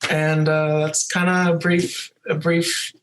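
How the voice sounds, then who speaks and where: Restaurant-owner guest from Puerto Rico, man, fairly high voice, friendly chat. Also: level tone, slow pace, a bit bright, slight background noise, poor audio. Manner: friendly, slips into Spanish phrases. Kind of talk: casual interview storytelling.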